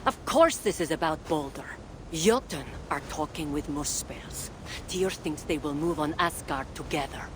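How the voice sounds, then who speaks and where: An actress playing a stern, wry goddess queen in a video game, speaking in a measured, dry and commanding way. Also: low voice, lively intonation, background noise. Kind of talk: dramatic video game acting.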